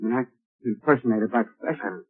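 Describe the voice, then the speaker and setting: Guy doing a shot, dying impersonator, strained and halting, husky, tinny old radio sound. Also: mid-range voice, natural voice. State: wounded, weakening. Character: dying male radio impersonator, weak and desperate. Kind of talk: 1930s radio drama acting.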